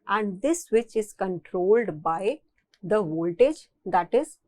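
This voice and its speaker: A woman's voice: mid-range voice, lively intonation, slow pace, darkish tone, no room sound. A woman with an Indian accent giving a technical engineering lecture, explaining step by step and often repeating and rephrasing her phrases.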